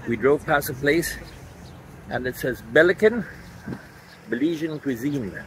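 A man's voice: fairly high pitch, fairly bright tone, low-quality recording. A middle-aged man doing casual walk-around vlog narration, addressing viewers directly as he points out what is around him. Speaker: travel vlogger. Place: outdoors on city streets and parking lots. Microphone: phone or camera at arm's length. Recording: outdoor street and vehicle noise.

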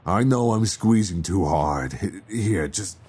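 Guy acting worried mafia boyfriend, asmr roleplay, deep voice, slow and halting, tender. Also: lively intonation, mellow, breathy edge, heavily acted. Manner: hesitant, sighing, halting. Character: worried, tender mobster boyfriend, adult man.

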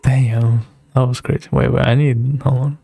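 A young man giving casual live reaction commentary as a streamer, with a husky, gravelly voice. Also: medium pitch, level tone, normal speed, dark tone, no room sound. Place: indoor home streaming room.

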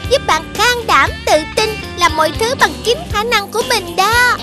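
A woman's voice: extremely high pitch, normal speed. A woman voicing a kind, encouraging little helper creature in a children's cartoon, childlike and instructive. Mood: kind, reassuring. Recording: music behind the voice.

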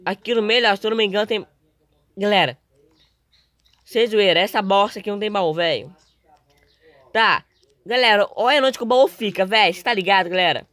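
A young male gamer with a Brazilian accent talks casually through his gameplay. His voice is slightly hoarse and his delivery is informal and full of slang. The recording is close and dry. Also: high voice, fairly quick, pauses often, sharp tone, no echo. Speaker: gaming video host.

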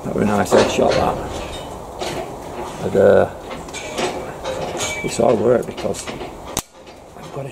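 A middle-aged man, chatting casually to the camera about airgun pest control from inside a farm building. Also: gravelly. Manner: low-key, chatty, fillers like "you know". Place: farm building loft, indoors. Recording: noisy outdoor-farm ambience.

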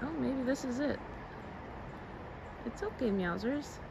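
Gravelly young woman chatting outdoors. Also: a little high, slow, noisy background. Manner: chatty, thinks aloud with many fillers. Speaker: car-living vlogger. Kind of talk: casual vlog chat while camping. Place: outdoors in a forest campsite. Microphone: handheld phone camera at arm's length.

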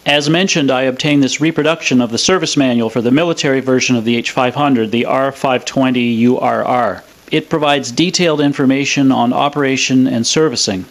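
A man's voice: mid-range voice, steady tone, fairly quick, hardly any pauses. A man presenting in a scripted, explanatory narration style. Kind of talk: scripted narration of a radio restoration demo.

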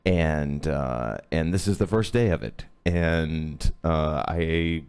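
A man in his thirties teaching a live-streamed hoop dance class from his home, sick with a lowered voice, rambling with many fillers. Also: slow pace, darkish tone, clean tone. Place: home room indoors. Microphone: webcam at a few feet.

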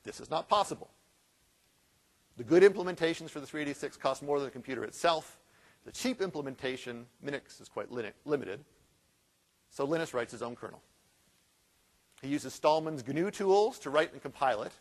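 A man giving a conference keynote, telling a story with rhetorical questions and lively asides. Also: a little high, fairly quick, long pauses. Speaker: keynote speaker.

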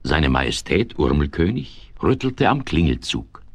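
A male storyteller narrates a children's radio play. His voice is deep and very expressive in pitch, recorded dry. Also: unhurried, husky, no echo.

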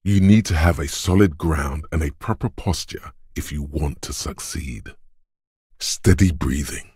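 An actor playing the gruff, domineering inner voice of physical strength in a video game, raspy and deliberate, narrating like a tough coach. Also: male voice, darkish tone, dry recording, clean studio sound, exaggerated voice. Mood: harsh, goading. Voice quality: very deep, gravelly, raspy. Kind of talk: scripted game narration, dramatic second-person.

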